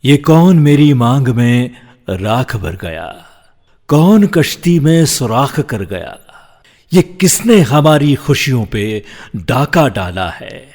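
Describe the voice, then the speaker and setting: A man playing an anguished, grieving reciter of a dramatic lament, moving between breathy drops and forceful rises. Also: medium pitch, sing-song, slow, long reverb tail, voice pushed up, heavily acted.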